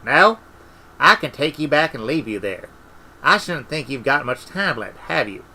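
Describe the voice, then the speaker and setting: A man playing a young man turning cold and deathly in an audiobook, speaking in a terse, deliberate, menacing way. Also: medium pitch, sing-song, bright, forceful tone, exaggerated voice. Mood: quiet menace.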